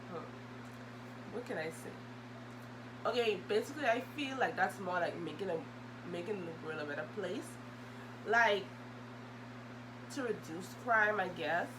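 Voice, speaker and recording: Low-voiced young woman, noisy recording. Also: lively intonation, a little slow, pauses often. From Bahamas. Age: young adult. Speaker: video host. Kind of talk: casual question-and-answer vlog chat. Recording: loud humming background noise.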